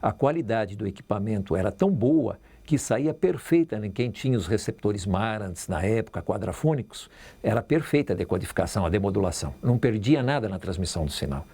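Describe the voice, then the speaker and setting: A man's voice: on the low side, expressive, fairly quick, dark tone, husky. A man with a Brazilian accent reminiscing informally in an interview, as a radio veteran.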